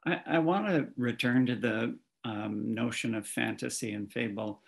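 An older man with a gravelly, creaky voice asks his questions in a halting way, circling back and restarting. He speaks into a headset from a home study. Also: mid-range voice. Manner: halting, stammering restarts while forming questions. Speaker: interviewer. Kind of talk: interview questions.

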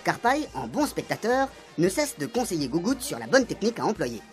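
A woman tells a comic radio serial with a lot of movement in her voice. She speaks in a lowish register and moves quickly through the story. It is a lively, storytelling delivery. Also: soft background music. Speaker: narrator.

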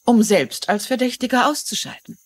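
An actress playing a shrewd, courteous mystery writer in a dubbed TV drama, articulate and measured. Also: female voice, on the high side, expressive, no room sound. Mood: firm, accusing. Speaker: actress in a German dub.

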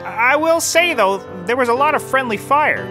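An actor playing a dazed, bumbling cheap private detective in a scripted comedy audio drama, rambling in a deadpan way. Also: sits high, normal speed, music in the background. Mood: rambling, matter-of-fact.

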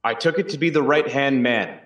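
A man's voice: mid-range voice, brisk, a bit bright, close to an everyday voice. Guy acting as a colonial boston witness testifying at a murder trial, plain answers, roomy sound off the mic. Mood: matter-of-fact. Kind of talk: historical courtroom reenactment. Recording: roomy echo, distant microphone.

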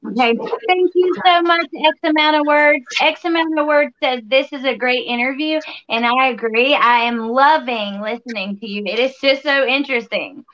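Young woman, high voice, dull lo-fi stream audio, upbeat livestream host reading comments. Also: normal speed, few pauses, fairly bright tone. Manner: upbeat, reads viewer comments aloud. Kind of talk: casual livestream interview. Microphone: webcam on a video call.

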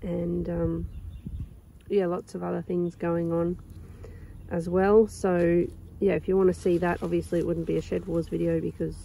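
A young woman with a croaky sore throat, chatting casually and rambling with lots of ums while filming her garden outdoors on a phone. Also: fairly low pitch, little pitch movement, moderate pace, low-quality recording. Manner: rambling, chatty, with many ums and soft laughs. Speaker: gardening vlogger. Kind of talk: casual chat.

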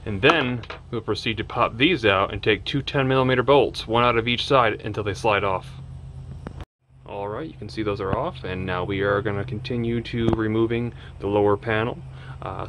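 A man giving a casual how-to narration of his DIY work, plain-spoken and relaxed, with plenty of "uh" fillers. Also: fairly low pitch, moderate pace, slight background noise. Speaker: DIY mechanic vlogger.

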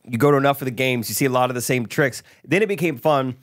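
A man's voice: medium pitch, fast. A young man co-hosting a podcast, asking dry teasing questions and interrupting playfully, on a studio mic. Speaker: podcast co-host. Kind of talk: casual chat. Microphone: studio mic on boom arm, headphones.

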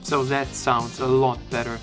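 A man's voice: mid-range voice, level tone, a little slow. Casual man reviewing gear over music. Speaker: tech reviewer.

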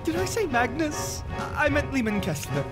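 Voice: high voice, huge pitch swings, moderate pace. An actor playing a flamboyant, snarky young male elf wizard in a comic fan dub, theatrical and drawling. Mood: indignant. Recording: loud music under the voice. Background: loud music.

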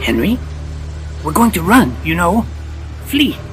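An actor playing a flustered project scientist in a steampunk audio drama, halting and given to exclaiming. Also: medium pitch, sing-song, faint music behind. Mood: halting, uneasy.

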